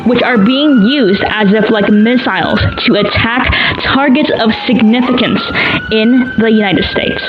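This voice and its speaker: A woman playing a news anchor in an audio drama, delivering breaking news in a grave, formal, measured manner. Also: on the high side. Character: female TV news anchor covering breaking attacks. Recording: muffled broadcast sound, clear background noise.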